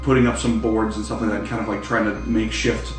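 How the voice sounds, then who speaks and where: A man running an improvised tabletop game as game master, narrating casually in a halting, drawn-out way. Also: fairly low pitch, little pitch movement. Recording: echoey room, slightly distant, faint music.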